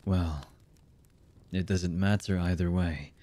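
A voice actor playing a cold, embittered villain man in an ASMR roleplay, husky and menacing, with halting delivery that often drops to a murmur. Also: fairly even intonation, warm tone. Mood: cold menace. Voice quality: husky, low.

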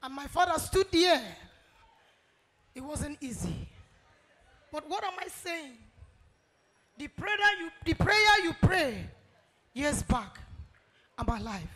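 A woman's voice: sits high, big pitch swings, takes it slowly, long pauses, fairly bright tone. A middle-aged woman giving a fervent testimony on a handheld mic in a large church hall. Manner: fervent, ends in sung praise. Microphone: handheld microphone.

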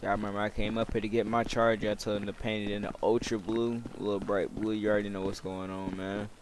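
A man's voice: lowish voice, little pitch movement, fast. A man giving casual, slangy, profane running commentary as he plays a roleplay video game, muttering to himself and viewers. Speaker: gaming video creator playing a roleplay game. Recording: loud vehicle and outdoor game noise behind. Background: loud game car and outdoor ambience.

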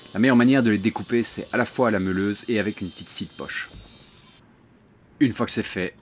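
A man narrating a do-it-yourself building tutorial in a relaxed, friendly voice-over, slipping slang into his step-by-step instructions. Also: lowish voice, slight background noise. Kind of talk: casual DIY tutorial voice-over. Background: faint music.

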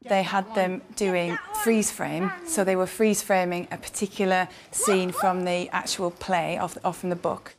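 A woman's voice: fairly low pitch, level tone, a little slow, hardly any pauses, noisy background. A British woman, a primary school teacher, giving conversational interview answers.

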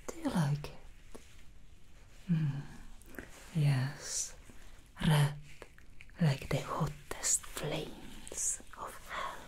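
A woman voicing a seductive female demon hairstylist in an ASMR roleplay, whispering softly close to the mic with slow, soothing reassurances. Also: sits low, fairly even intonation. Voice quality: soft whisper. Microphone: close sensitive ASMR mic.

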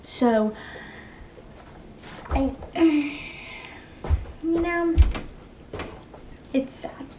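A woman's voice: sits high, very slow, long pauses. A young woman vlogging while she tidies her bedroom, chatty and rambling, mock-dramatic about small things. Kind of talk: casual clean-with-me vlog chatter. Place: carpeted bedroom, indoors. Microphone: phone camera set across the room. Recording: echoey bedroom, dull phone audio with steady hiss.

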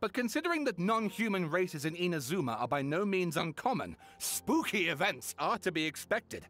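Earnest author, measured, higher voice, expressive. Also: male voice, full character voice. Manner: measured, explanatory. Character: scholarly older male author, earnest.

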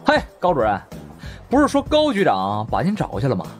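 A man puts on a theatrical young foreign man for an audiobook. He is flippant and playful, swinging widely in pitch and drawing out his words with exaggeration. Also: wildly swooping pitch, fairly quick, faint music behind. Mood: breezy.

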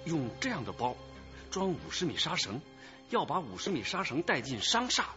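A keen police detective chief in a radio drama. His voice is bright and forceful with a lively, swinging pitch. He probes and gives brisk orders, in slightly dull sound. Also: soft background music, heavily acted. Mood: intent, probing. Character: keen, commanding male detective chief leading a case.

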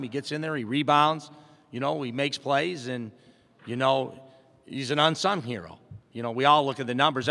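A man's voice: mid-range voice, fairly bright tone. A middle-aged man, a basketball head coach, answering postgame questions at a press conference in a plainspoken, upbeat way, with many fillers and restarts. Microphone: table mic in front. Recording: long hall reverb.

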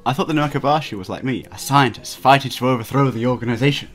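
A man's voice: medium pitch, fast talker, a bit bright. A young man playing a video game, reading its text aloud with stumbles and joking asides. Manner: stumbling read-aloud delivery, often breaking into laughter. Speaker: let's player reading a visual novel aloud. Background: video game music.

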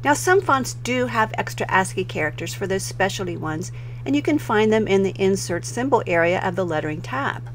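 Woman, American accent, mid-pitched, instructional screen-recording narration, step-by-step explanations, faint noise behind the voice. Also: animated, brisk. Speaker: software tutorial presenter.

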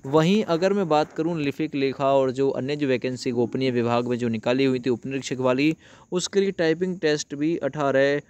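A man's voice: medium pitch, normal speed, a little mellow. A man hosting an informal update for viewers, explaining in a familiar way and repeating phrases for emphasis. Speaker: host of an exam update channel. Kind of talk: informal explainer talk to viewers about exam news.

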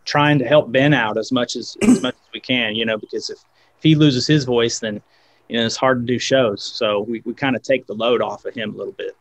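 A man's voice: medium pitch, fairly even intonation, fairly quick. A man in his thirties, a guest guitarist, talking casually on a video call with many fillers and restarts.

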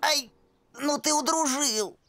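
Bossy, slow-talking cartoon puppy boy. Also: high voice. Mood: irritated. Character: sensible, skeptical puppy boy, somewhat bossy older brother. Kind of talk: children's cartoon acting.

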